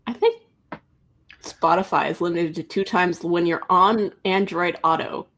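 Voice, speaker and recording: A woman with a husky voice co-hosting a casual book chat on a livestream. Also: fairly low pitch, a little slow, a bit bright, a little hiss or hum. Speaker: co-host of a book readalong livestream.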